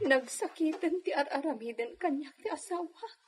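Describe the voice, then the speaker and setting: A woman's voice: high-pitched, normal speed, darkish tone, faint music behind, lightly acted. A woman playing an anguished, pleading young mother and neglected wife in a radio drama, with a Filipino accent. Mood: wounded, brooding. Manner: plaintive, pleading. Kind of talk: Ilocano radio drama acting.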